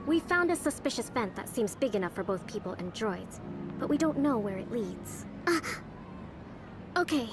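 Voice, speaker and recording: A woman playing a polite, earnest young female servant in an anime game, speaking in a courteous, gentle, deferential way. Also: on the high side, sing-song, normal speed. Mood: puzzled, careful. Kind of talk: video game character acting. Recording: music behind the voice.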